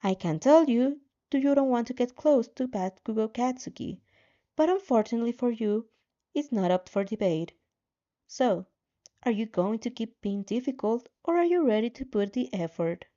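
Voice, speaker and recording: A woman's voice: on the high side, animated, normal speed, dark tone, dull audio, voice pushed up, natural voice. A woman voicing a remorseless, inhuman system that talks down to its victim in a patronizing, coolly coaxing way. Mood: condescending scolding. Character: remorseless game-like system, condescending taskmaster.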